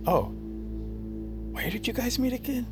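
An actor playing a goofy, loyal young metalworker sidekick in an audio drama, slangy, boyish and eager. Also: male voice, very high voice, very animated, measured pace, over music, exaggerated voice. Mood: friendly.

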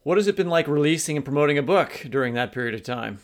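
A man's voice: on the high side, lively intonation, fast talker. A man co-hosting a podcast in a conversational way, introducing and questioning guests. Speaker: podcast co-host.

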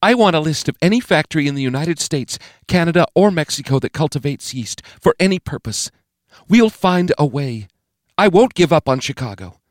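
A man's voice: fairly high pitch, expressive, normal speed, dry recording, studio quality, voice pushed up, lightly acted. A man voicing a stern, commanding woman president, giving clipped, decisive orders in an audiobook. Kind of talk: audiobook character voice.

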